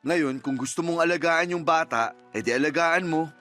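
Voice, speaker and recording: Lalaking gumaganap bilang malamig, makasariling lalaki, mataas-taas ang boses. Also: male voice, a little high, moderate pace, a bit bright, soft background music, close to an everyday voice. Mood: cold, dismissive. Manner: cold, blunt, dismissive. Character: callous, selfish man walking out on partner and child. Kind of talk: Tagalog radio drama acting.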